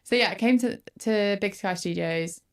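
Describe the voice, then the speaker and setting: A woman's voice: medium pitch, moderate pace, clean tone. A woman, chatting casually as a guest and laughing often. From northern England. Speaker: photographer guest. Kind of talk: casual podcast interview.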